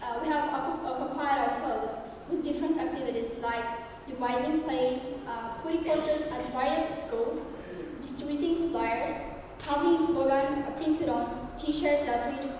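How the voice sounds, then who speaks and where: A young woman from Ethiopia gives a prepared speech to an audience, pausing often and restarting her phrases. Her voice is high, and it is picked up from a distance. The long reverb of a large hall hangs around every word. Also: darkish tone, slight background noise. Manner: halting, many fillers and restarts. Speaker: student speaker. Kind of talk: prepared speech at a public event. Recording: echoey hall recorded from far off.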